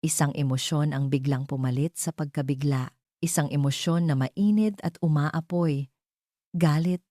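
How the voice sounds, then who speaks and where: A woman's voice: deep voice, dark tone, no room sound, clean studio sound. A woman reading a scripted dramatic story as its narrator.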